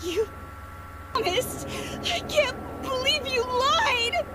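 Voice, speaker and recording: A gloomy, lovelorn teenage girl in a dubbed anime game. Her extremely high, slow voice stammers with hurt and insecurity. Loud music plays behind her. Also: lively intonation, full character voice. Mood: betrayed. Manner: wounded, stammering. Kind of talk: English anime game dub acting.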